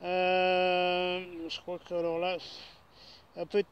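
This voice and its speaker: A man's voice: high voice, completely flat delivery, slow pace, clean tone, dull audio. A man chatting casually as an amateur radio operator, speaking from a portable station outdoors.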